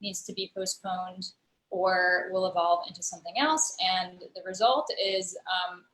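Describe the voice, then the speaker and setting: Woman guest on a video call, thinking out loud with lots of ums, echoey room sound. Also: fairly low pitch, unhurried. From United States. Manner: thoughtful, explains at length with many fillers. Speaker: guest, digital storyteller and musician. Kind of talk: casual interview conversation.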